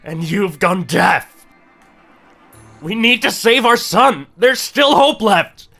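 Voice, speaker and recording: Visual novel mother in desperate denial, male performer: shrill, high, wildly swooping delivery over music. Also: animated, takes it slowly, vocal fry, bright, forceful tone, exaggerated voice. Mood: frantic pleading. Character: hysterical grieving mother in frantic denial. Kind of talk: dramatic visual novel character acting.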